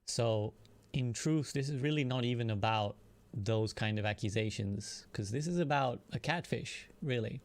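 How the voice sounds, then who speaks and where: A man, an online streamer, giving a measured, prepared personal statement in earnest, articulate, formal wording. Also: lowish voice, a little mellow, dry recording.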